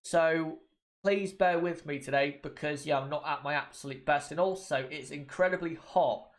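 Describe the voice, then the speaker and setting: A man's voice: fairly high pitch, normal speed. A man with a bit of a cold, chatting casually to viewers as a livestream host and often repeating his points. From United Kingdom. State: has a cold. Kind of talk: casual watchalong commentary.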